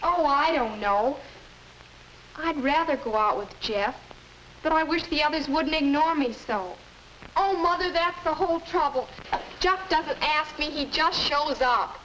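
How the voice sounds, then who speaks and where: An earnest teenage girl with a high, plaintive voice, fretting in the manner of a 1950s instructional film. The soundtrack carries a faint old hiss. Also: measured pace, a bit bright, poor audio. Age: young adult. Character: teenage girl, earnest, fretting over her steady boyfriend.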